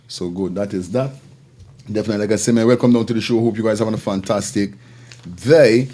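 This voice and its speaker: Male live show host, Caribbean accent, low mellow voice, loose slangy repetitive chat. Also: fast talker. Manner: informal, repetitive, slangy patter. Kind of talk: casual live-stream talk with shout-outs.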